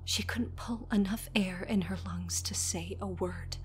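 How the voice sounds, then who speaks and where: A woman narrating a scripted horror story as a storyteller, dramatic and deliberate, dropping at times toward a whisper. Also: mid-range voice, steady tone, husky.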